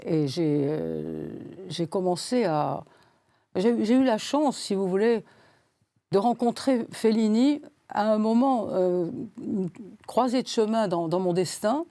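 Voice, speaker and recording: A woman's voice: mid-range voice, sing-song, takes it slowly, dark tone, no room sound. A woman in her eighties, a guest in a studio interview, talking in a rambling way with many hesitations and repeated words. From Switzerland. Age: 80s. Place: studio set.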